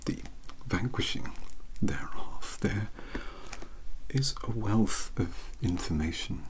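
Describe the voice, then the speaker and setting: A man with a British accent playing a bookish, polite young occult mentor in a soft-spoken, breathy ASMR roleplay, with formal, hesitant phrasing. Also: lowish voice, animated, slow, low-quality recording. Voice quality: breathy, whispery. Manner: scholarly, formal, hesitant, wordy.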